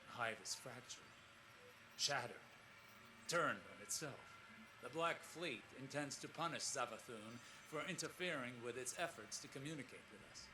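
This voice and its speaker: Game role: male actor as earnest, lonely scholar-explorer, mid-pitched, formal and reflective logs, clearly noisy backdrop. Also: animated, lightly acted. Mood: grave, awed. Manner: measured, reflective, formal diction. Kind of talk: scripted audio-log narration in a video game.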